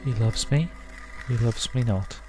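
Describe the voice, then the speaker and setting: A man's voice: mid-range voice, a little slow, darkish tone, faint music behind, natural voice. A man voicing a frightened, unhinged young woman, lovesick and desperate, in a visual novel. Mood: obsessive muttering. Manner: desperate, manic.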